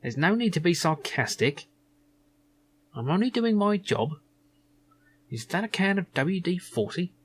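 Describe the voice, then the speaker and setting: A man's voice: sits high, big pitch swings, measured pace, long pauses, breathy edge, voice pushed up, exaggerated voice. A man voicing an officious, fussy jobsworth guard in an adventure game. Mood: indignant. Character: officious jobsworth security guard searching visitors.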